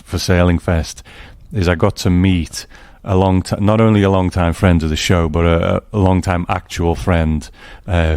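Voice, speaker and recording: Male podcast host, very low voice, casual chat, close dry recording. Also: darkish tone, clean tone, no echo.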